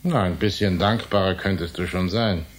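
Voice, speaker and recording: An actor in a German radio drama playing an older uncle, secretive and wryly self-important, speaking in a hushed, conspiratorial way. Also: male voice, low-pitched, normal speed, a little hiss or hum, lightly acted. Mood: wry, confiding.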